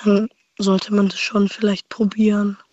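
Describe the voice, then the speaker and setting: Young woman caller, hoarse with a cold, low steady voice, flat delivery, dull phone line. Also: measured pace. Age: 20s.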